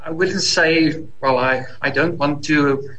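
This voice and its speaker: A man's voice: on the high side, slow, sharp tone, a little hiss or hum, rough recording. A man from South Africa's Free State with an Afrikaans accent, a native Afrikaans speaker translating, talking in a hesitant, self-deprecating way. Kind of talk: panel discussion.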